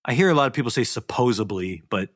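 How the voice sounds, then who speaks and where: A middle-aged Midwestern man riffs on a podcast, laughing often and cursing casually. His pitch swings widely as he talks. The sound is dry and close to the mic. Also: expressive, quick pace, no echo. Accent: Midwestern American. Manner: laughs often, profane and jokey. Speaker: podcast co-host. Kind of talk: casual chat.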